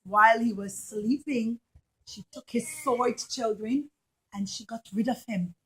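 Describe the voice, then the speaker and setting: High-voiced woman telling stories to children. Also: unhurried, a bit bright, breathy edge, low-quality recording. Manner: dramatic, addresses listeners as children, simple words. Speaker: puppet show storyteller. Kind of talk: animated storytelling to young children.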